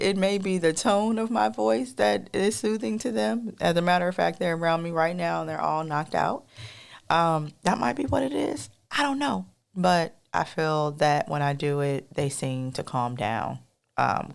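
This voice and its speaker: A woman co-hosting a podcast in a casual, rambling monologue with a soft, melodic voice, talking straight to listeners as y'all. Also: on the low side, measured pace, vocal fry. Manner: rambling, addresses listeners as y'all, many fillers. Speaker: podcast co-host.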